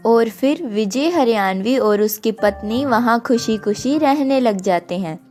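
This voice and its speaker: A woman's voice: fairly high pitch, lively intonation, a little slow, darkish tone. A woman narrating a children's story, addressing her listeners directly. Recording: music behind the voice. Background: music.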